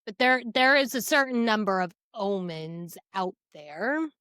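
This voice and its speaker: A woman's voice: medium pitch, lively intonation, measured pace, a bit bright, smooth, no room sound, studio quality. A woman co-hosting a podcast in a casual book chat, chiming in with informal, joking commentary. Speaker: podcast co-host.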